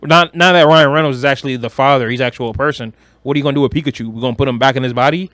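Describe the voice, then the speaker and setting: A man's voice: mid-range voice, rapid-fire, fairly bright tone, no echo. A man co-hosting a podcast, chatting casually about movies in a slangy, joking, sweary way. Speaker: podcast co-host.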